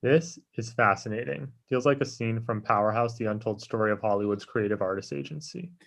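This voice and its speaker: A man's voice: lowish voice, brisk, creaky, fairly bright tone. Man acting self-serving chatty office opportunist. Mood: blithe. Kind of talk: casual comedy script table read.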